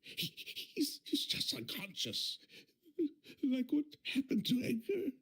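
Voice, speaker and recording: Breathy, distraught young man. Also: high-pitched, wildly swooping pitch, full character voice. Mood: panicked, pleading. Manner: halting, stammering. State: crying, shaken. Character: distraught young man grieving, desperate and fragile. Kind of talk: anime game voice acting.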